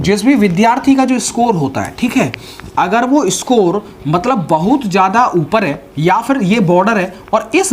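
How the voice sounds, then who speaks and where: A man with a high voice speaks informally, like a coach talking directly to students. He explains things conversationally and keeps adding little check-in tags. Clear ambient noise sits behind him. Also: darkish tone. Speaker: exam guidance presenter. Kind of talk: informal motivational advice talk to students.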